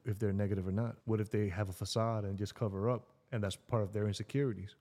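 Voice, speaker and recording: Blunt fast-talking man with a dark voice. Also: on the low side, fairly even intonation, long reverb tail. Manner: blunt, profane interjections. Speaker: podcast co-host. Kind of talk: casual chat.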